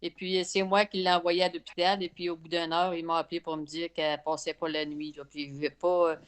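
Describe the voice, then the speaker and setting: Woman, Québécois accent, caller on a video call, low voice, smooth tone, halting, plain-spoken. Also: level tone. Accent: Québécois French. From Canada. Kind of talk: telling her story on a call.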